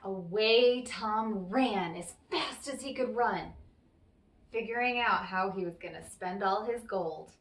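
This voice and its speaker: A woman reading a children's story aloud as an expressive storyteller. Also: mid-range voice, takes it slowly. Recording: roomy, echoey, distant microphone.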